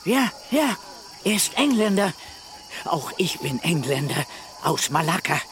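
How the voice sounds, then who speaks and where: A man's voice: a little high, big pitch swings, unhurried, a bit bright, a little hiss or hum. An actor playing a sly, servile, spiteful old man in a radio play, wheedling and insinuating. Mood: scornful, conniving.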